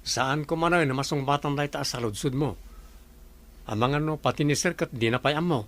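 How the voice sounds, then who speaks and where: A man with an Ilocano Filipino accent playing a respectful, amused assistant in a comedy radio drama, deferential and gently teasing. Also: a little high, fairly quick, lightly acted. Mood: evasive.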